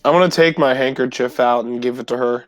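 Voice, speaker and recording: A man's voice: medium pitch, fairly even intonation, quick pace, no echo, studio quality. A man playing in a tabletop roleplay, chatting casually and speaking for his character. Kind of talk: casual in-character game chat. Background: faint music.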